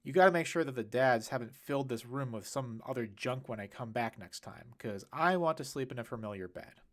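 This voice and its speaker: A man's voice: medium pitch, fairly quick, close to an everyday voice. A man voicing a chatty, upbeat teenage girl. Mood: reassuring. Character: teenage girl, cheerful and reassuring.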